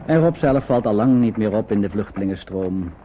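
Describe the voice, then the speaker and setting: A man's voice: on the low side, animated, brisk, a little hiss or hum, natural voice. An actor narrating a scripted radio drama about wartime refugees in a man's storytelling voice. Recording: muffled phone-like old radio recording.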